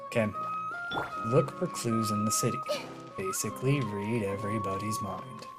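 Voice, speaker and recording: A man's voice: fairly low pitch, normal speed. A man doing casual live gameplay commentary as a streamer, with offhand, wry asides. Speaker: game streamer. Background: game music.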